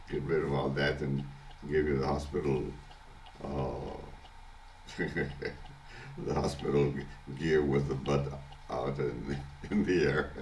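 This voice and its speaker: An older man speaks in an extremely deep, congested and hoarse voice, slow and halting with frequent restarts. He talks at a very unhurried pace in a casual video diary at home. A faint hiss of room ambience sits underneath. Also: darkish tone. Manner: halting, many restarts and fillers, chuckles often. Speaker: vlogger. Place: at home, early morning.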